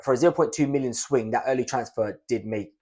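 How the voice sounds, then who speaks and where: A young adult man with a croaky, raspy voice from a cold, giving chatty fantasy football analysis on his channel. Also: mid-range voice, darkish tone. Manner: chatty, conversational, fast. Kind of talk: casual analysis to camera.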